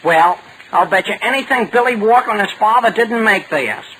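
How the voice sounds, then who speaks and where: A brash American teenage sidekick from an old radio comedy. The voice is high-pitched and bright, full of suspicion and scheming, with faint hiss from the vintage broadcast. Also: male voice, animated, normal speed, poor audio. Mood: conspiratorial. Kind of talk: 1940s radio comedy acting.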